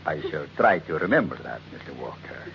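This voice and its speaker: An actor playing a suave, menacing blackmailer with polite, mockingly formal manners in an old-time radio drama. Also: male voice, very deep voice, sing-song, a little fast, vocal fry, full character voice. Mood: smugly threatening. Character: suave, menacing male blackmailer living lavishly. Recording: muffled, noisy old radio recording.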